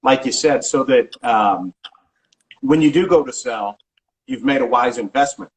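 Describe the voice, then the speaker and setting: A middle-aged man working as a real estate agent, answering interview questions in a casual, halting way with frequent restarts and filler sounds. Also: medium pitch, poor audio. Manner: halting, many restarts and ums.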